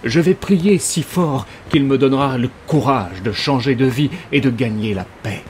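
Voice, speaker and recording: A man's voice: mid-range voice, moderate pace, a little mellow, slight background noise, natural voice. A man voicing a fervent, boastful devout believer praying in an exalted, theatrical way for a comic audio drama.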